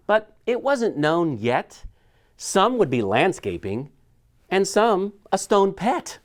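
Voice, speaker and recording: A man reading a children's picture book aloud expressively, with dramatic shifts in his storytelling. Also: on the high side. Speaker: school superintendent reading aloud.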